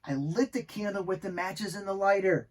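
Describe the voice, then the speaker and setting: A man's voice: high voice, moderate pace. A man voicing an earnest, childlike young dog in a children's storybook, speaking simply to kids. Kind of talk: children's storybook read aloud.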